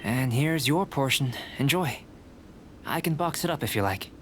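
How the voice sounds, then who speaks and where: A man voicing a calm, courteous alchemist researcher in a video game, speaking in a measured, formal, articulate way. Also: mid-range voice, a little hiss or hum, close to an everyday voice.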